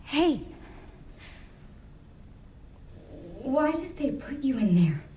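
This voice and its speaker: A woman playing a nervous, gentle young policewoman in a horror game, halting and stammering as she talks. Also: high voice, big pitch swings, slight background noise. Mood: cautious.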